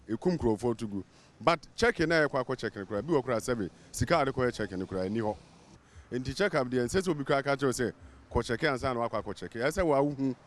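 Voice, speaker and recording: A man with a Ghanaian accent giving a casual interview answer as a member of the public. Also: medium pitch.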